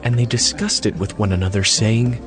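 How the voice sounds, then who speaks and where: A man's voice: lowish voice, moderate pace. A man narrating scripture from a script, as the storyteller of a dramatized reading. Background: music.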